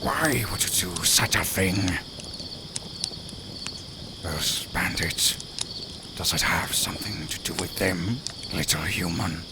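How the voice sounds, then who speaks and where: A man's voice: deep voice, slow, long pauses, heavy background noise. A man voicing a haughty, sardonic lone werewolf in an audio roleplay, speaking to the listener in formal, condescending, theatrical phrases. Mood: startled, concerned. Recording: night forest ambience with crickets, clearly audible. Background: outdoor forest sounds, crickets.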